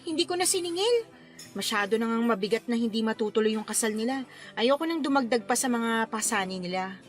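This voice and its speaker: A woman's voice: a little high, expressive, a little fast, lightly acted. A woman with a Filipino accent acting a friendly, patient wedding planner in a radio drama. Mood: kind, sincere. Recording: music bed under the voice.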